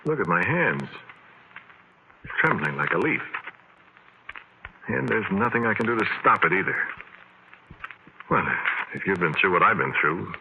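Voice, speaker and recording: An actor playing an uneasy, ordinary barfly in a 1940s radio drama, talking in a plain colloquial way. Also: male voice, low-pitched, sing-song, unhurried, vocal fry, long pauses, dull audio, heavily acted. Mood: shaken, confiding. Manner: colloquial, uneasy first-person telling. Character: shaken ordinary barfly telling his strange story. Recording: noisy old 1940s radio recording.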